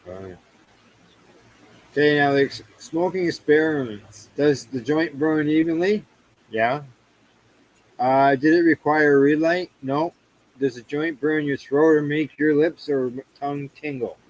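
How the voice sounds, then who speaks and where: Halting man reading judging questions. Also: fairly high pitch, low-quality recording. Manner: halting, restarts words often. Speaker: show host.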